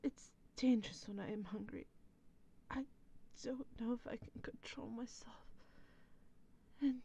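A woman voicing an anxious, guarded female vampire in a whispered ASMR roleplay, breathy and hesitant. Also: a little high, dark tone, slight background noise. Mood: strained, hungry. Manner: breathy, hesitant, slow and halting.

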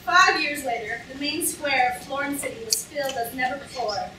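A woman's voice: sits high, normal speed, lightly acted. A woman playing a patient storyteller reading a book aloud in a staged reading. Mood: narrating. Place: lecture hall stage, indoors, recorded from audience. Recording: echoey hall from far off, noisy audience room.

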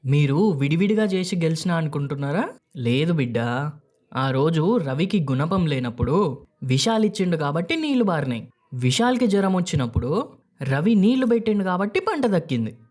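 A man's voice: fairly high pitch, big pitch swings, moderate pace, darkish tone. Man acting firm, fatherly Telangana village farmer. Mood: gently chiding. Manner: admonishing, rhetorical questions to his sons. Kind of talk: acted dialogue in a moral story.

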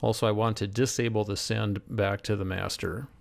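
Male instructor for screen tutorial: methodical, explanatory, gravelly fry, lower register. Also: fairly even intonation, normal speed. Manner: methodical, step by step. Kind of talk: explanatory software demonstration. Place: voice-over screen recording.

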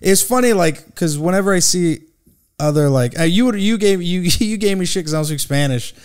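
A man's voice: high-pitched, expressive, a little fast, clear, resonant tone. A man in his thirties from San Jose hosting a podcast, chatty, often laughing and swearing. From San Jose, California. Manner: giggly, swears a lot, eager. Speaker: podcast host, comedian. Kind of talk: casual chat. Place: podcast studio with brick wall. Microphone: boom studio mic.

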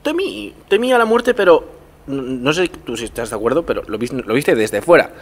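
Podcast guy on a terrace, dry deadpan jokes, plain mid voice. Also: medium pitch, normal speed. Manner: dry, mock-solemn humor. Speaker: podcast co-host. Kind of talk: casual banter. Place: outdoor terrace by a brick wall.

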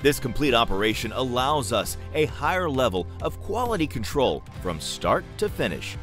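A male announcer delivers a scripted promotional voice-over. His mid-pitched voice moves up and down with lots of animation, over a light music bed. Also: very animated, normal speed, fairly bright tone.

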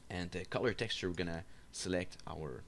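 A man giving an unscripted software screencast tutorial, explaining step by step in a halting, hesitant way. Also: sits low, a little hiss or hum. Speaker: tutorial instructor. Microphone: voice-over for a screen recording.

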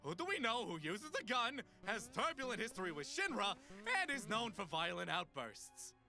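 A man voicing a cheeky, excitable robot cat who makes morbid jokes in a comedic parody dub, theatrical and wisecracking. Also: high-pitched, moderate pace, creaky, soft background music, exaggerated voice.

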